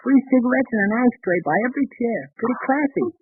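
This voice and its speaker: A thin, band-limited old radio sound frames a flustered, bumbling husband in a 1930s comedy. His high voice leaps about as he stammers and hedges in easy exasperation. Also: expressive, fast talker, narrow, tinny audio, background noise. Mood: boyishly impressed. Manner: stammering, hemming and hawing.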